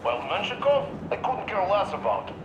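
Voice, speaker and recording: Brash, irritable radioman for a game. High-set voice, echoing radio with clear noise. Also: very animated, brisk. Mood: defiant. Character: cocky, irritable male radio operator of a survivor crew. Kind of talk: dramatic game dialogue. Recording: echoey, noisy radio transmission.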